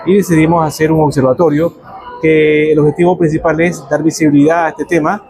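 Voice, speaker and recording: A man from Ecuador, a researcher who explains his work in a measured way, with some fillers. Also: a little high, little pitch movement, a little mellow, breathy edge, soft background music. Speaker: researcher, guest. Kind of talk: explanatory interview answers. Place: café during a power cut. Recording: crowd chatter behind.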